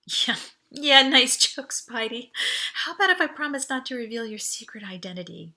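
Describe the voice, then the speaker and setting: A woman's voice: fairly high pitch, lively intonation, normal speed, bright, forceful tone, voice pushed up, exaggerated voice. A woman voicing an anxious, sardonic young female passenger in an audiobook, with a quavering, exclamatory delivery. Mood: scoffing. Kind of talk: audiobook character dialogue.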